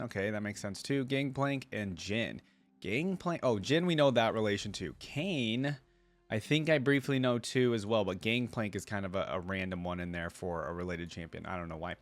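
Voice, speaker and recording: A man hosting a reaction video, mixing casual, think-out-loud commentary with reading aloud. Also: fairly low pitch, no echo.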